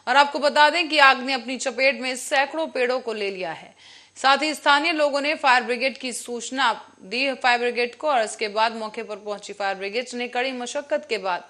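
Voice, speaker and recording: A woman's voice: a little high, sharp tone. A woman presenting the news as an anchor. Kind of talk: news presenting, scripted narration and live questions to a reporter.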